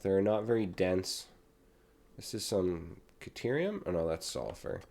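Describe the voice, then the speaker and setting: A young man with a cold and a raspy throat, casually talking through a video game as he plays. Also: deep voice, unhurried. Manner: relaxed, chatty, talks while playing. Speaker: gaming channel host. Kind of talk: casual gameplay commentary.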